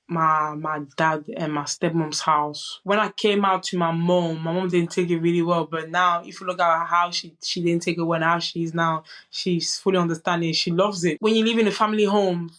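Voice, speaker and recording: A woman's voice: fairly low pitch, fairly even intonation, moderate pace, a bit bright. Young woman, French native, earnest podcast guest, many restarts. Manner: earnest, many restarts and "you know" asides. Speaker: guest, boxer. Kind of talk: candid conversational storytelling.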